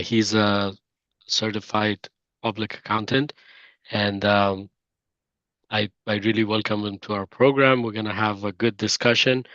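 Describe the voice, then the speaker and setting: A man hosting a podcast interview, speaking haltingly with many "um" and "uh" fillers. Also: fairly low pitch, a bit bright, no room sound, muffled recording. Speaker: podcast host.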